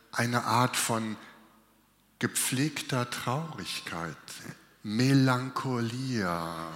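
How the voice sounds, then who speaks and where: A man preaching a sermon with a cold, speaking slowly and reflectively with pauses. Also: medium pitch, a bit bright. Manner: slow, reflective, with pauses and restarts. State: has a cold, coughing. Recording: long hall reverb.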